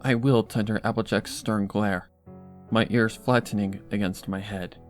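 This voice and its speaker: A man's voice: lowish voice, fairly even intonation, moderate pace, faint music behind. A man narrating a dramatic first-person story from a script. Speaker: fan fiction narrator.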